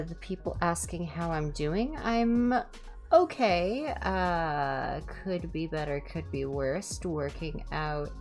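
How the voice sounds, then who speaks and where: A woman hosting a livestream, chatting casually in ASMR style with a low-key, rambling, self-deprecating manner. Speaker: livestream host and reader.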